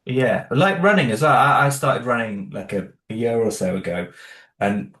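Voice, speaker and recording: A man's voice: medium pitch, fairly bright tone, roomy sound. A man being interviewed about the audio drama he created. He talks casually and thoughtfully, with many restarts. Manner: thoughtful, halting, many restarts and "you know".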